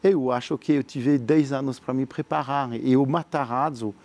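A French entrepreneur speaking Portuguese as a second language with a French accent, answering interview questions in an earnest, visionary way, halting often with fillers and repeated words. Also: male voice, medium pitch, fairly even intonation, a little mellow. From France.

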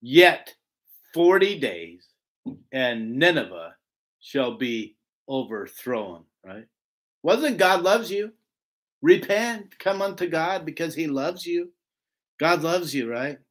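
A man's voice: on the high side, big pitch swings, slow, pauses often, a bit bright. A man giving an informal Bible lesson, reading and explaining scripture in an emphatic, rhetorical way that keeps checking for agreement. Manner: emphatic, rhetorical, often seeking agreement with "right?". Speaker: Bible teacher.

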